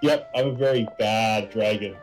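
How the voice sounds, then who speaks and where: A man's voice: lowish voice, normal speed, soft background music, rough recording. A man chatting casually as co-host of a live game stream. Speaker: livestream co-host. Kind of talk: casual chat over a game.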